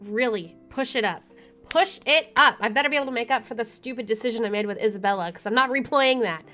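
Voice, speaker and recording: A woman's voice: on the high side, fast, fairly bright tone. Woman reading game text with wry asides. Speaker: let's play streamer. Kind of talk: reading game text aloud with casual commentary. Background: game music.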